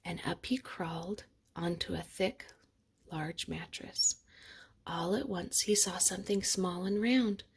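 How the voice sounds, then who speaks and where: A woman narrating a children's picture book aloud in an expressive storytelling manner. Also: lowish voice, unhurried, breathy edge. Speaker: storybook reader.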